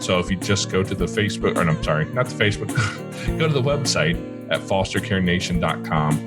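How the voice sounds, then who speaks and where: A man's voice: deep voice, expressive, fairly quick, loud music behind the voice. A man with a Midwestern accent hosting a podcast interview, chatting casually, rambling a little and correcting himself as he goes. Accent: Midwestern American. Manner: rambling, self-correcting, laughs easily. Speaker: podcast host.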